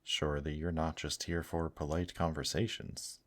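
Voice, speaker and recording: A man voicing a suave demon host in an intimate ASMR roleplay, courtly and gently teasing, addressing the listener with pet names. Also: extremely low pitch, level tone, normal speed. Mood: courteous, gently teasing.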